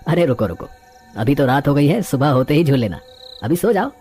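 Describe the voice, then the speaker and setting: A man's voice: medium pitch, very animated, brisk, a little mellow, soft background music, close to an everyday voice. Man playing an anxious, melodramatic village husband. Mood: dismissive. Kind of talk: animated horror story dialogue.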